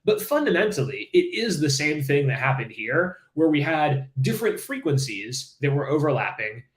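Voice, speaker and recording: A man's voice: on the high side, very animated, moderate pace, roomy sound, rough recording. A man teaching a live-streamed coding lesson, casual and enthusiastic, with many fillers and restarts. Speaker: livestream coding instructor.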